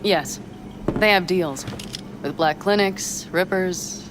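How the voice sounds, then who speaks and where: An actress playing a tough, hot-headed young nomad smuggler in a video game, with blunt, colloquial, sweary delivery. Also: female voice, on the low side, slow. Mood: relaxed. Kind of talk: video game cutscene acting. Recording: clear ambient vehicle noise behind.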